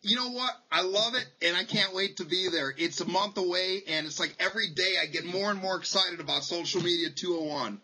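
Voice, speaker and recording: A man's voice: high voice, a little fast, few pauses, crowd noise, poor audio. A man on a late-night video call, chatting playfully and breaking into hammy announcer bursts. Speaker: social media speaker on a video call. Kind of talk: playful casual chat. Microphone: webcam on a video call.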